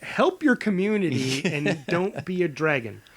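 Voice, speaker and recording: A male podcast co-host with a voice a bit higher than most men's. He talks casually and thinks out loud, rambling and doubling back on his sentences as he pitches ideas. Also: very animated, husky. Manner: halting, rambling delivery with false starts. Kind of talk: casual improvised movie pitch chat.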